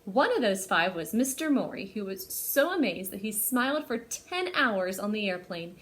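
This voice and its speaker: A woman hosting a library storytime, speaking to young children in an animated way as she presents and reads a picture book aloud. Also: medium pitch, normal speed.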